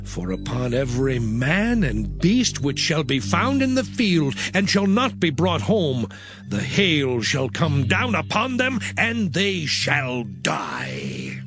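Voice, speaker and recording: Casting: stern biblical prophet. Declamatory, very slow, highly inflected delivery for scripture drama. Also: male voice, wildly swooping pitch, a bit bright, full character voice. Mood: stern, warning. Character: Moses, stern male prophet delivering warnings. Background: music.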